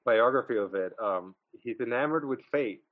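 A man's voice: medium pitch, moderate pace, no room sound, narrow, tinny audio. A man co-hosting a casual film podcast, rambling with fillers and laughing often. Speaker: podcast co-host.

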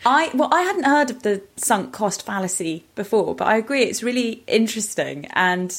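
A woman's voice: medium pitch, sing-song, normal speed, breathy edge. A woman co-hosting a podcast, explaining her opinions in a reflective, articulate, conversational way. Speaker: podcast co-host. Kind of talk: casual opinion chat.

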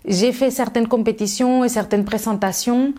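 A woman's voice: on the high side, fairly even intonation, moderate pace, mellow. Hesitant woman from Mexico. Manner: thoughtful, many fillers and restarts. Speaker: guest. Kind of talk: interview answers.

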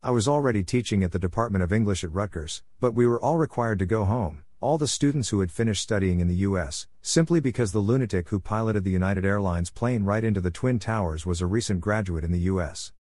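A man's voice: fairly low pitch, fast talker, hardly any pauses, a little mellow, no echo. A man narrating a scripted personal opinion column as a reader.

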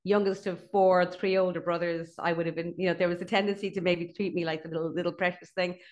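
Fast-talking low-voiced Irish woman. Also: level tone, highs cut off. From Ireland (Dublin). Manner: chatty, fast, laughs between points. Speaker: guest, company CEO. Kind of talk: casual interview chat.

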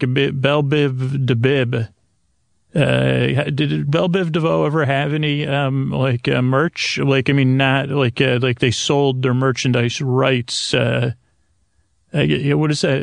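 A man hosting a sleep podcast in a halting, digressive, meandering monologue, often interrupting himself and trailing off, with a gravelly creak to his voice. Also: medium pitch, dry recording. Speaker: podcast host. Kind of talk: rambling, meandering bedtime monologue to help listeners sleep.

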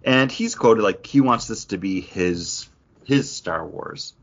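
Male podcast host, raspy and conversational, slightly dull recording, casual geek talk. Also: lowish voice, animated, slow. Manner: opinionated, conversational. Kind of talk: casual geek-news discussion.

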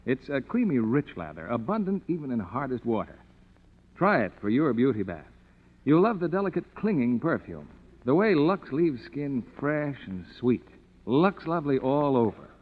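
Casting: man, old-time radio pitchman, highly melodic salesmanlike read, thin phone-like sound. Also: very animated, moderate pace. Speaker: commercial announcer. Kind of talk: 1950s radio soap advertisement. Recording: tinny old radio recording, hall reverb.

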